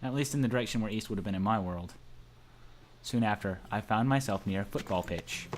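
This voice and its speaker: A man's voice: fairly low pitch, fairly quick. A man playfully narrating a visual novel aloud for a gaming video.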